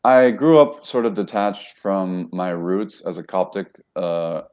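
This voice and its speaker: A man with a notably deep, low-pitched voice talks through his background as an interview guest. He rambles a little, stops to correct himself and leans on fillers as he goes. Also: a little slow, a little mellow, clean tone. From United States. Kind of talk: casual interview talk.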